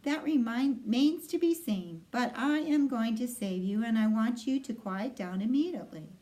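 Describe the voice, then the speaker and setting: A wise lady spider from a storybook, voiced by a woman reader, composed and sensible. She talks firmly and thoughtfully in a fairly high, expressive voice. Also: natural voice, rough recording. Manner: brisk, thoughtful. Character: female spider, composed, sensible, briskly commanding.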